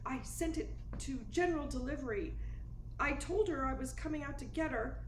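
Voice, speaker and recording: A woman plays a genteel, worried young lady in a detective radio drama. Her voice is high and her delivery is hesitant and polite. The room echoes around her, with slight noise. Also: moderate pace, lightly acted. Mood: distraught. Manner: hesitant, breathlessly anxious, polite. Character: fretful, genteel young female client pleading for help. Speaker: actor in a radio play. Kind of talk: 1940s radio melodrama acting. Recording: echoing room with faint noise.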